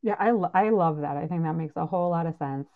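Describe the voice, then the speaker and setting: Female moderator, very low pitch, informal and affirming, thin phone-quality audio. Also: fast talker. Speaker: panel moderator, podcaster. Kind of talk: casual panel moderation.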